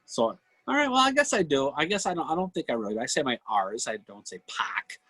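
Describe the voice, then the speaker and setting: Husky New England man, animated delivery. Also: a little high, brisk, no room sound. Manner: rambling, repeats phrases, swears casually. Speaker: podcast co-host. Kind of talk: casual livestream banter.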